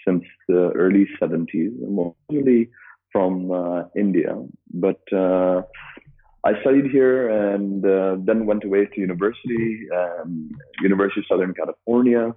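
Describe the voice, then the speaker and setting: A man from Dubai, a business co-founder answering interview questions in a halting way, with lots of filler sounds and restarts. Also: deep voice. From Dubai, UAE. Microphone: phone call. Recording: tinny phone line.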